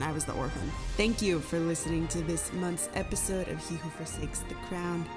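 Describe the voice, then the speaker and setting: A woman with a fairly low voice reads scripted credits as a cast member closing an audio drama. Music plays clearly behind her. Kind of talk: scripted podcast outro.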